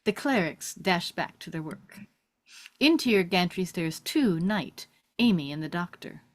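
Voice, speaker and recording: A woman's voice: lowish voice, animated, a little slow. A woman giving scripted narration, reading out stage directions at a table read.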